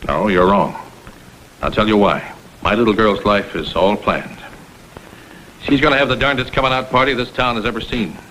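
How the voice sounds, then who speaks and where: A man's voice: fairly low pitch, normal speed, pauses often, a bit bright, lightly acted. An actor playing a middle-aged, self-made father in an old crime drama, fiercely protective, blunt and threatening. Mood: menacing. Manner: blunt, emphatic, tough-talking. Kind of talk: vintage American television crime drama acting. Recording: faintly noisy vintage film soundtrack.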